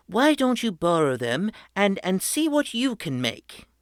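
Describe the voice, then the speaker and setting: A woman voicing a kind, handy painter who talks gently and a little hesitantly. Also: mid-range voice, very animated, unhurried, no echo, clean studio sound, pitched above the performer's own voice. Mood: curious.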